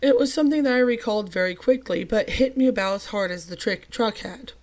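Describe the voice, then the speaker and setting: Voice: medium pitch, fairly quick. Young storyteller with a cold-worn voice. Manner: chatty and self-deprecating, with lively emphatic bursts. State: recovering from a cold, voice a little off. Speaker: channel host telling a personal story. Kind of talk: storytime narration.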